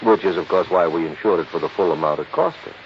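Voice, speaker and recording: Excitable deep-voiced insurance boss, old radio. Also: male voice, fast. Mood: insistent, explaining. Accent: American. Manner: emphatic, explanatory, excitable. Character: cigar-smoking, worried, fussy male insurance manager. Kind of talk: 1950s radio drama acting. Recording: muffled, hissy 1950s radio recording.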